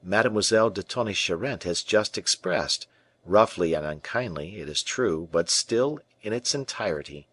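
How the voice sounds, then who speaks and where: A seasoned musketeer captain from a classic adventure story, as a male reader plays him, giving cool, measured replies with quiet respect. His voice sits low and steady. The recording is close and dry. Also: no room sound.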